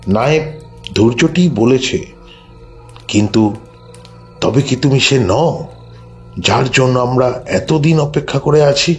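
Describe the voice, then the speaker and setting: Actor as slow, solemn village temple priest. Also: male voice, medium pitch, soft background music, lightly acted.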